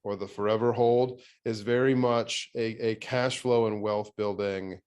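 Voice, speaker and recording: A man hosting a real estate podcast interview, talking in a relaxed way with many fillers and restarts. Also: lowish voice, measured pace, clean tone. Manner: thinks aloud with fillers and restarts. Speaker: podcast host. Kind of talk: conversational interview.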